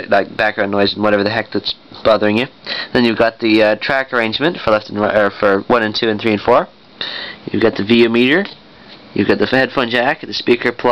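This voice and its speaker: A man giving a casual, rambling product demonstration, often correcting himself as he goes. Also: medium pitch. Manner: rambling, off-the-cuff, self-correcting. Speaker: vintage audio collector demonstrating equipment. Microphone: handheld camera. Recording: dull lo-fi camera mic with noise.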